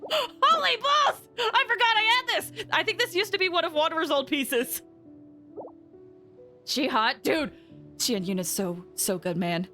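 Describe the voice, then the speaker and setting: A woman game streamer chatting casually and excitably, thinking aloud with frequent exclamations as she plays. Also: very high-pitched, unhurried, faint music behind. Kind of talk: casual livestream gaming chat.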